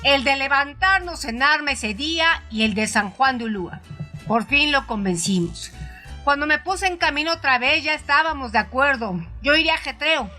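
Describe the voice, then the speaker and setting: A woman's voice: sits high, animated, moderate pace. A woman narrating a literary audio story in a dramatized radio storytelling style, with music behind her. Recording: soft music under the voice.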